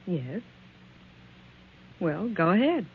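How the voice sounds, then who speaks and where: A woman's voice: lowish voice, sing-song, extremely slow, breathy edge, phone-quality sound, full character voice. An actress playing a guarded, evasive working woman, politely cool, in a 1940s radio drama. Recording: band-limited old 1940s radio recording.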